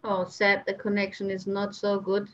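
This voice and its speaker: A woman's voice: mid-range voice, completely flat delivery, normal speed, a bit bright. A woman hosting an online panel, speaking casually over a video call. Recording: muffled internet video call.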